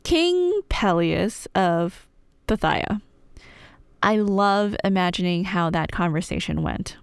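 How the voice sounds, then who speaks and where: A woman's voice: fairly low pitch, animated, slow, vocal fry, smooth, no echo. Casual, wry woman storyteller, close and dry. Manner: wry, irreverent, slangy, conversational. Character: chatty, playful, nerdy girlfriend telling myths. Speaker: audio roleplay performer playing a girlfriend. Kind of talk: casual rambling storytelling, scripted ASMR roleplay.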